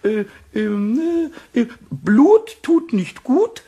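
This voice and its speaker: A man playing a small, hot-tempered, childish kobold in a children's radio play, squawky and whiny, stuttering excited repetitions. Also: drawn-out words, slightly rough, heavily acted. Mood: excited, boastful. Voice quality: squawky, squeaky.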